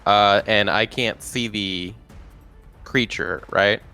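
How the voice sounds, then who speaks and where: A man's voice: fairly low pitch, fairly even intonation, slow pace, bright, forceful tone, soft background music. A man playing a tabletop game, talking casually and hesitantly as he thinks aloud.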